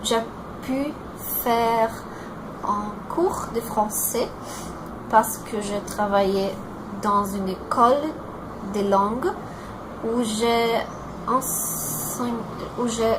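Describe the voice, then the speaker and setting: A Brazilian woman in her twenties with a Brazilian Portuguese accent, introducing herself in a learned language and pausing often to search for words. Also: fairly high pitch, noisy background. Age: 20s. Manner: halting, searching for words, many fillers and restarts.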